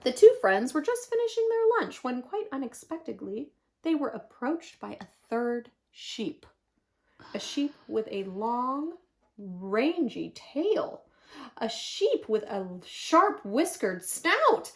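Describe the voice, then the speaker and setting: High, very animated woman storyteller. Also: slow. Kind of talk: expressive children's picture-book read-aloud.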